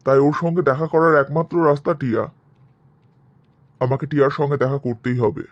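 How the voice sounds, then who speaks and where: A man playing a lovesick, quietly determined young son in a cartoon family drama, earnest and subdued. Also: mid-range voice, fairly quick, highs cut off, natural voice. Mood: earnest, troubled.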